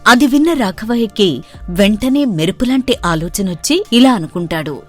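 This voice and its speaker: A woman narrates a scripted moral story. Her voice is medium in pitch, and faint music plays underneath. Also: expressive, normal speed.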